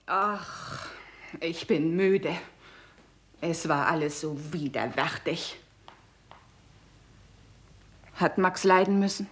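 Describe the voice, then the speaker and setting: A woman's voice: lowish voice, slow pace. A woman playing an embittered, weary and defiant film star confessing to murder in a 1960s German radio crime drama, with a theatrical, sarcastic edge. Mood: weary, sad.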